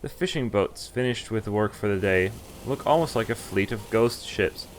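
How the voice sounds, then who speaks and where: A man streaming a game, reading a visual novel aloud in expressive first person with casual, offhand asides. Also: lowish voice, moderate pace, a little hiss or hum. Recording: music behind the voice. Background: game music.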